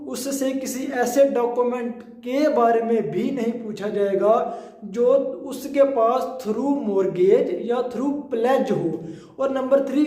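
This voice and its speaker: Male law lecturer, Pakistani accent, very unhurried and soft-edged delivery, explains and rephrases, recorded in an echoing space. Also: very high-pitched. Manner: deliberate, repeats and rephrases points. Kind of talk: explanatory legal lecture mixing in English terms. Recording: roomy, echoing sound.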